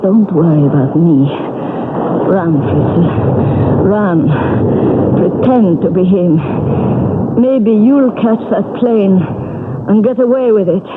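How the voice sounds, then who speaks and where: A woman's voice: mid-range voice, slow pace, long pauses, natural voice. An actress voicing a troubled woman caught in a past life, speaking anguished and tearful in an old radio drama. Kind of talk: 1970s radio drama acting. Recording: tinny old radio broadcast with loud noise.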